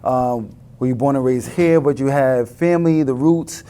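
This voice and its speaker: A man's voice: mid-range voice, moderate pace, mellow. A man from New York City hosting an interview, asking questions with playful joking asides. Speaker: host.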